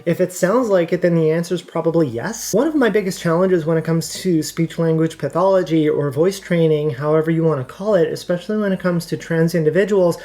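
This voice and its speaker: A woman's voice: fairly low pitch, fairly quick, hardly any pauses, dark tone. A woman hosting a podcast, talking candidly and casually to her audience, her voice held up with a raised voice box. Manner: candid, chatty, laughs easily. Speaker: podcast host. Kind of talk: casual monologue answering viewer questions.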